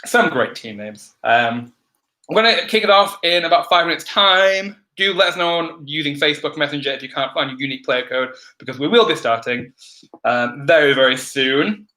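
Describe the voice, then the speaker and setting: Man, online quiz host, bright, crackly throat, rambling ums. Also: a little high, lively intonation. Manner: chatty, many ums, brisk instructions. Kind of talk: live-stream housekeeping announcements.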